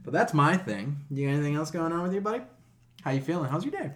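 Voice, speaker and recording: A man's voice: a little high, lively intonation, quick pace, a bit bright. A man co-hosting a gaming podcast in casual, profane chat, with a sarcastic, incredulous manner and an easy laugh. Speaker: video game podcast co-host.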